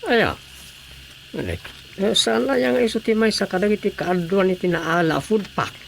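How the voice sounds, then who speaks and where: A woman's voice: medium pitch, animated, normal speed, gravelly, a little hiss or hum. A woman acting a no-nonsense associate of a village chairman in a radio drama, questioning and challenging. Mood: reproving.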